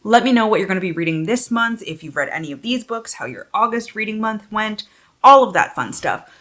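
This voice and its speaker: A woman's voice: mid-range voice, lively intonation, a little fast, a bit bright. A woman book vlogger chatting casually to viewers in an informal, self-deprecating way, sometimes sweary or reading blurbs aloud.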